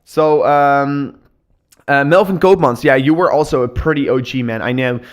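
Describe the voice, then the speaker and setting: A young man hosting a live cryptocurrency stream in casual chat, speaking English as a learned second language, excitable and full of repeats and restarts. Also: medium pitch, moderate pace. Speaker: crypto livestream host.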